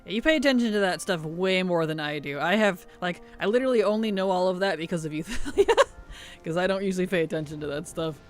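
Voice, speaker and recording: Streamer, casual gameplay chat, cough drop in mouth, slightly rough throat, faint game music behind. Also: mid-range voice, fast talker. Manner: chatty, answers chat while playing.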